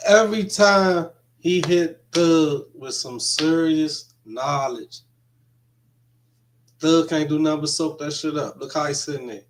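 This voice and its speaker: Casting: male reaction streamer, slightly high voice, loose slangy commentary, repeats phrases. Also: measured pace, slight background noise. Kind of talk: casual running commentary.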